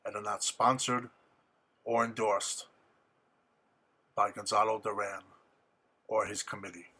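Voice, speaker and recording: A man reading a political ad in a measured, even delivery over loud music. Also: lowish voice, breathy edge. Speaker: ad announcer. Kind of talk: read political ad.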